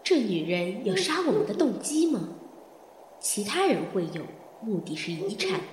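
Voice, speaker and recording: An echoing, reverb-soaked inner voice of a calculating woman played by an actress in an audio drama. She broods and questions deliberately, with animated swings of pitch and faint noise behind. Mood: suspicious, analytical. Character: calculating, suspicious woman reasoning through a murder in thought. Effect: reverb marking inner thoughts.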